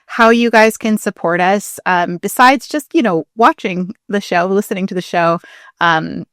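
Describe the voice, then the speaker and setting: A woman co-hosting a podcast in casual, animated chat, often giggling as she talks. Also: mid-range voice, moderate pace, no room sound, clean studio sound. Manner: giggly, chuckles often while talking. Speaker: podcast co-host.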